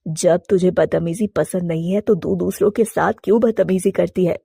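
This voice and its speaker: A woman's voice: fairly low pitch, steady tone, normal speed, vocal fry, dark tone, slightly rough, no room sound, studio quality. A woman voicing a stern but fair mother-in-law pigeon who firmly scolds her daughter.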